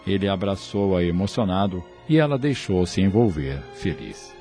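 Lively low-voiced Brazilian radio drama narrator, male. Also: normal speed, soft background music. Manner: formal, theatrical announcer delivery. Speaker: narrator and announcer.

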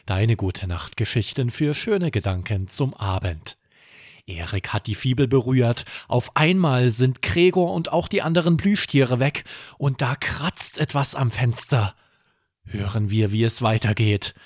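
A man narrating a scripted children's bedtime story as podcast host, with expressive, dramatic delivery. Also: medium pitch, unhurried, husky, no echo, clean studio sound.

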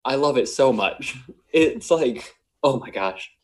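A man with a Michigan accent co-hosting a casual podcast chat, excitable and laughing often. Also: mid-range voice, takes it slowly. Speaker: podcast co-host.

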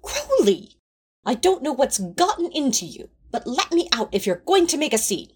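A woman's voice: high-pitched, sing-song, moderate pace, darkish tone, husky, heavily acted. A woman playing a prim, anxious male angel who scolds in exasperation, in a fan audio drama. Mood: alarmed, scolding.

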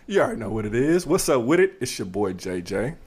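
A man's voice: medium pitch, very animated, fast, darkish tone, breathy edge. A young man co-hosting a casual podcast, playful and emphatic, repeating his advice. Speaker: podcast co-host. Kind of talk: casual chat.

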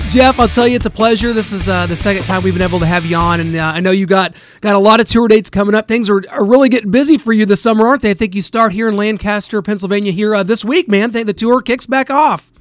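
A man's voice: sits high, fairly quick, soft background music. A man hosting a radio interview, asking eager, rambling questions with frequent restarts. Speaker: radio show host.

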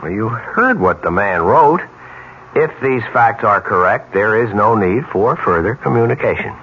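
A man's voice: on the low side, expressive, moderate pace. An actor playing a plain, honest, unassuming family man with a dry wit in a 1970s radio drama. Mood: wry, puzzled. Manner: unassuming, dryly joking, hesitant. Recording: muffled 1970s radio broadcast, faint hiss.

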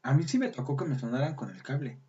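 Mexican man, thirties, slangy joking co-host, mellow voice, dull lo-fi sound. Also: medium pitch, animated, fast talker. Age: 30s. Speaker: podcast co-host. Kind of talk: casual chat.

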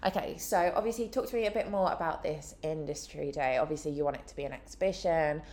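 Deep-ish voiced lady interviewer, upbeat, keeps the chat moving. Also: fast talker, darkish tone. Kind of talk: casual interview questions. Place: indoor room, in person.

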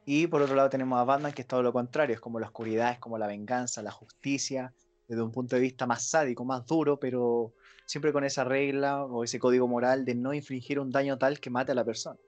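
A man's voice: mid-range voice, fairly even intonation, quick pace. A man co-hosting a podcast who explains his points methodically, one after another. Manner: explanatory, lays out points in a lecturing way. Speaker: podcast co-host. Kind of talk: casual chat.

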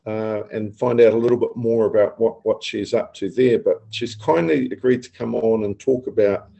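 A man's voice: lowish voice, moderate pace, creaky, warm tone, poor audio. A man hosting a podcast, asking questions in a hesitant way with many fillers. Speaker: podcast host.

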